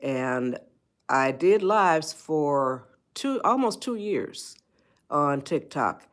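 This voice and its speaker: A woman's voice: deep voice, sing-song, drawn-out words. An 81-year-old woman, hosting a live stream and giving advice. She rambles, repeats herself and greets viewers by name. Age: 80s. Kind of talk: spontaneous livestream talk.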